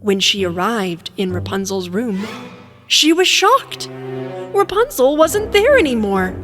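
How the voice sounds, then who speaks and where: Casting: female fairy tale narrator, expressive, higher-pitched, very animated intonation. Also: measured pace, music in the background. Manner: expressive storytelling. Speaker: storyteller, narrator.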